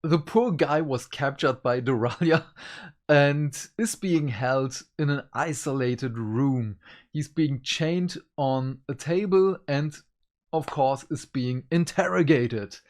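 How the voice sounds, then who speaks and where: A host giving a casual review to viewers, speaking in an emphatic, deliberate way. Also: mid-range voice, animated, darkish tone. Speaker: book reviewer and channel host.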